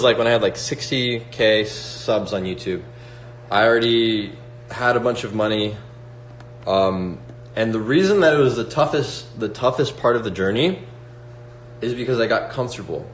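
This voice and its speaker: Young man chatting on a livestream, echoey. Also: mid-range voice. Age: 20s. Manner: motivational, reads out chat questions, many fillers like "like" and "right?". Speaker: livestream host. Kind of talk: casual livestream chat with viewers. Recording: echoey room with faint hum.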